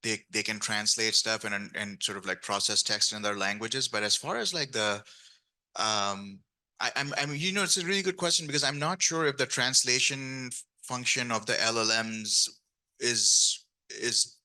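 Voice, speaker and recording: A man's voice: on the low side, normal speed, sharp tone. A man speaking on a panel, thinking aloud with many restarts and fillers. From grew up speaking Urdu. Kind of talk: panel discussion.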